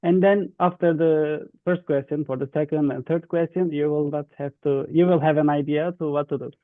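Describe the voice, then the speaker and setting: A man with a Turkish accent explains instructions to an online group, halting and repeating himself in non-native English. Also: on the high side, fairly even intonation, no room sound. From Turkey. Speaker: youth webinar facilitator. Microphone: video call. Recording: thin, dry phone-like online call.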